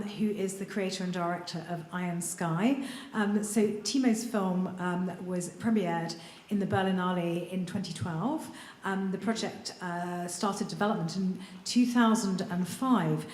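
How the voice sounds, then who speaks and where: A woman's voice: mid-range voice, a little slow, darkish tone. A woman moderating a panel, introducing the next speaker with many ums. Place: large event room. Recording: roomy hall with faint ambience.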